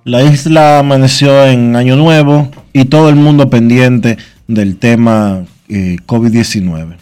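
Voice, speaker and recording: A man's voice: mid-range voice, measured pace, a little mellow, clean tone. Man co-hosting, congested. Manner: informative, reads out figures. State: congested with flu. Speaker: radio co-host. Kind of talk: casual radio talk.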